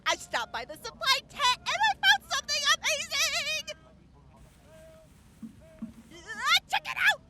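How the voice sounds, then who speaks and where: A bubbly gadget inventor from an audio drama. Her voice is extremely high and leaps about in pitch. She sounds eager, teasing and full of pep. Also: sing-song, a little slow, pauses often, bright, forceful tone, soft background music, exaggerated voice. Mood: excited. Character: peppy, excitable, teasing female gadget inventor.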